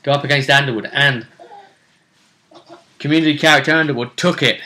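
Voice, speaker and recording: A man hosting a casual recap of a tabletop role-play tournament, telling it like a story with deliberate phrasing. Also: medium pitch, pushed and bright. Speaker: host.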